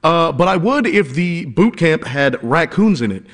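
A man filling in as a radio co-host, telling a story in a halting way, full of fillers and restarts. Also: on the high side, expressive, a bit bright, in a large hall.

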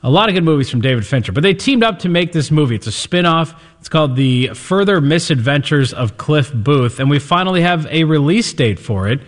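A man's voice: fairly high pitch, animated, fairly quick, hardly any pauses, in a large hall. A man co-hosting a radio show, chatting about movies in an enthusiastic, conversational way. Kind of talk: casual entertainment chat.

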